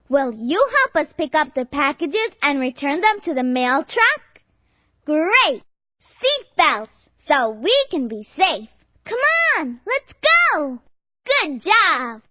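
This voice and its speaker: Super high cheery little explorer girl for a kids game, slow and swoopy, encouraging. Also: big pitch swings, bright, forceful tone, dry recording, clean studio sound, full character voice. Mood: cheerful, encouraging. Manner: exclaiming, encouraging, deliberate enunciation. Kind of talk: children's educational game voice clips.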